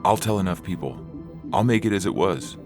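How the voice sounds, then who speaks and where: A man's voice: deep voice, lively intonation, fairly quick, pitched above the performer's own voice. A man voicing an insistent, disbelieving man accused of a crime in a science fiction audio story. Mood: desperate disbelief. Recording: music bed under the voice.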